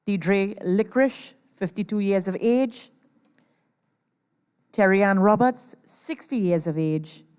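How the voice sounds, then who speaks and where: A woman hosting a stage competition, announcing formally and deliberately through an echoey PA. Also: fairly low pitch, darkish tone, smooth. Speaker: host, master of ceremonies at a competition. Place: large performance venue, over a PA system. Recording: echoey hall PA, long reverb.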